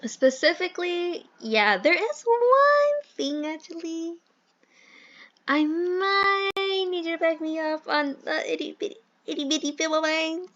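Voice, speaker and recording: A woman's voice: very high voice, lively intonation, measured pace, a bit bright, dull audio, full character voice. A woman voicing an eager but sheepish young male filmmaker with groovy slang and a hesitant, rambling manner in a comic audio drama.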